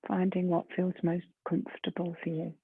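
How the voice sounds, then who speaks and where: A woman leading a guided meditation in a gentle, soft, breathy voice, unhurried, with long pauses between phrases. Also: fairly low pitch, monotone, dry recording. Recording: muffled phone-like lo-fi sound.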